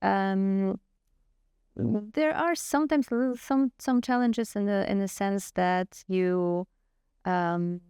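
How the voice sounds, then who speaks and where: A woman's voice: mid-range voice, slow, a little mellow, clear, resonant tone, dry recording, clean studio sound. A middle-aged woman from Poland with a Polish accent, speaking earnestly and frankly as an interview guest, often restarting her sentences. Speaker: guest scientist. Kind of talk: conversational interview answers.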